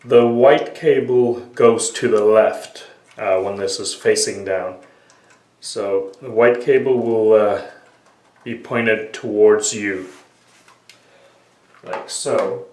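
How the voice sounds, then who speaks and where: A man's voice: lowish voice, darkish tone. A man with a sniffly cold explains a repair step by step, with many "uh" fillers as he works with his hands at a workbench. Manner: unhurried, many "uh" fillers, talking while working with hands. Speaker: hobbyist repair tutorial maker. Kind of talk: casual step-by-step repair tutorial. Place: small room at a workbench, indoors. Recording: roomy, distant camera audio with faint noise.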